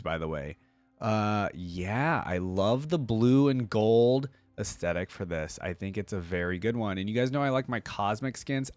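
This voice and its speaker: A man's voice: fairly low pitch, dry recording. A man giving casual, slangy reaction commentary as a video game host talking to his viewers. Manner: informal, slangy, addresses viewers.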